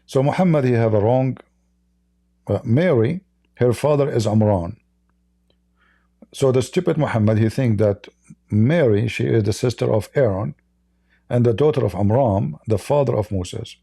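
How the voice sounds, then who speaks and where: A gravelly, dark-toned man hosts a live call-in debate. His first language is Arabic, and he argues in a mocking, combative way. The recording is dry and close. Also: mid-range voice, normal speed, long pauses, no room sound. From Middle East. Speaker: host.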